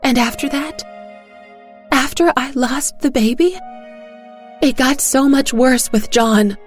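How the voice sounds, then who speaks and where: A woman voicing a grieving, battered woman with a raw voice in a dark audio story. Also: high-pitched, slow pace, faint music behind, voice pushed up. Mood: anguished. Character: grieving, battered woman confessing her loss.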